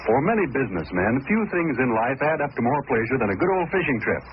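A man's voice: medium pitch, big pitch swings, quick pace, music in the background. An American man reading a scripted advertisement as an old-time radio announcer, with folksy, enthusiastic salesmanship. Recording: dull, noisy vintage radio recording.

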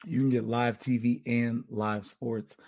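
A man hosts a casual sports podcast with a hoarse voice. His tone is mellow, with a gravelly rasp. He talks in a relaxed, chatty way and gives out shout-outs. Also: medium pitch, unhurried. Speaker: podcast host.

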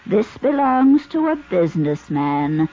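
An actress playing a fraudulent, grandiose spirit medium in the theatrical manner of 1950s radio drama. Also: female voice, mid-range voice, big pitch swings, unhurried, mellow. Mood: trance-like. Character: fraudulent spirit medium, ample, theatrical, brassy when cornered. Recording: dull 1950s radio recording, faint music.

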